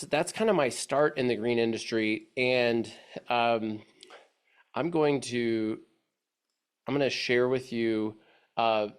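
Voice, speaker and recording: A congested man with a stuffy nose, speaking at a middling pitch into a video call mic. He presents a webinar in a loose, chatty way, restarting sentences and stacking fillers. He comes across as a friendly, easygoing presenter. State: congested from allergies. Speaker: webinar presenter, agency head. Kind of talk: casual, rambling webinar presentation.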